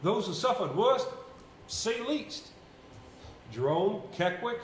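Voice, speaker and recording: A man in a live amateur stage play, playing a troubled, reluctant villager burdened by secrets, telling them haltingly. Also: high-pitched, very animated, full character voice. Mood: sorrowful recounting. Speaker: stage actor. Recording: echoey, noisy amateur theater stage recording.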